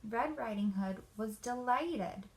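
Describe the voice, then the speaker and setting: A woman reading a children's story aloud in an expressive, deliberate storyteller's manner. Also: a little high, low-quality recording.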